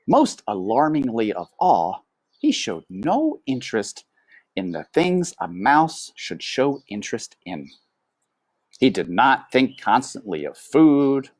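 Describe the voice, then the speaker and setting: Woman doing a kids book read-aloud, slow and deliberate, low husky voice. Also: a little mellow, no echo. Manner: dramatic, deliberate phrasing. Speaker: storyteller.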